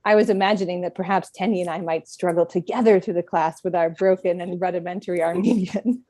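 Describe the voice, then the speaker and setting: A woman in middle age, reading a prepared personal talk at a book event in a reflective, measured way with gentle self-deprecation. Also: lowish voice, fairly even intonation, no echo. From United States.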